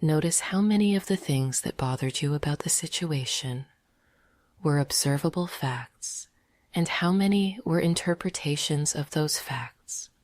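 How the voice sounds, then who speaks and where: A woman leading a guided meditation in a soft, half-whispered, gentle and soothing voice. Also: sits low, unhurried, no room sound.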